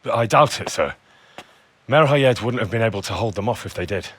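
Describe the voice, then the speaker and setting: A man's voice: lowish voice, lively intonation, brisk, breathy edge, no room sound. An actor playing a respectful, resolute young man in a dramatic medieval game cutscene. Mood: respectful, serious. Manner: respectful, determined.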